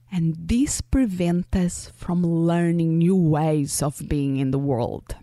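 Portuguese-accented woman, podcast host, unhurried and mellow, dry close-mic sound. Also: fairly low pitch, no echo. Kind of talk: scripted solo podcast monologue.

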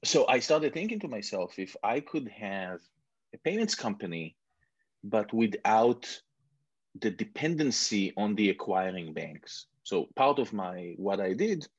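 Husky-voiced Israeli man, lo-fi call. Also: on the low side, sing-song, measured pace, no room sound. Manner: rambling, self-mocking, many restarts. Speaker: guest entrepreneur. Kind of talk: casual interview chat. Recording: dull muffled lo-fi sound.

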